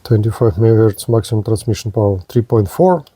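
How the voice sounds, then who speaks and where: A man giving a hands-on demonstration of gear as a product reviewer, speaking in short, halting phrases. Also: fairly low pitch, dark tone, breathy edge.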